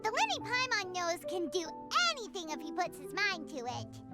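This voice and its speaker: A woman voicing a tiny, excitable, loyal childlike fairy companion in a video game, with a chirpy way of talking. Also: very high voice, normal speed. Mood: eager, pleading. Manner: refers to self in third person, chirpy. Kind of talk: video game character dialogue. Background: loud game music.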